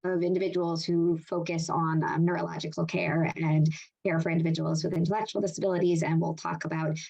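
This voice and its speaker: Gravelly-voiced woman moderating a webinar. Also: sits low, level tone, darkish tone, highs cut off. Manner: measured, with frequent fillers. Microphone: video call.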